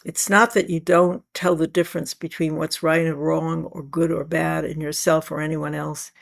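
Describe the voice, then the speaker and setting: Scratchy-voiced woman teaching calmly. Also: low-pitched, creaky. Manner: calm, measured, reflective. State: scratchy voice today. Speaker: spiritual teacher. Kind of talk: spiritual talk to a meeting.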